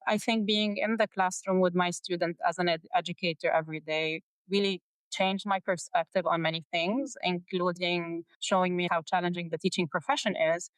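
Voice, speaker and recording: Smooth-voiced woman with a Lebanese accent. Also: fairly low pitch, fairly even intonation, normal speed, hardly any pauses, no echo, low-quality recording. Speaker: guest, nonprofit education executive. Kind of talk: interview answer recounting career.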